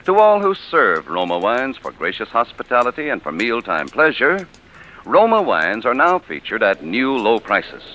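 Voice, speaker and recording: A man's voice: medium pitch, wildly swooping pitch, normal speed, bright, forceful tone, a little hiss or hum, low-quality recording. A man reading a polished, emphatic 1940s radio wine commercial as announcer. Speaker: sponsor announcer.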